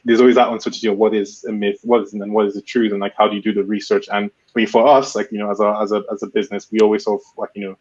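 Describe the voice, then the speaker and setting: A man on a panel talking quickly, with many restarts. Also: on the low side, little pitch movement, darkish tone. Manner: fast, halting restarts. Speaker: founder, panelist. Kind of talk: panel discussion. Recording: dull lo-fi audio.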